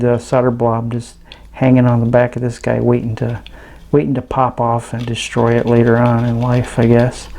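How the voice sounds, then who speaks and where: A man with a mellow, gravelly voice talks through a hands-on demonstration. He is casual and understated, halting now and then as he thinks aloud, with a fry running through his mid-pitched voice. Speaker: host of an electronics teardown channel.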